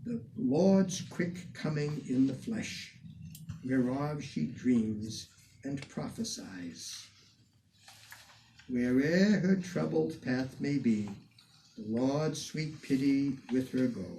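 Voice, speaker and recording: Slow, declamatory man reciting verse, distant mic. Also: mid-range voice, gravelly, warm tone. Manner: measured, declamatory, deliberate phrasing with emphatic swells. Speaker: reader of poetry, museum curator. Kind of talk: dramatic poetry recitation in the person of a 19th-century poet. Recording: distant microphone with some background noise.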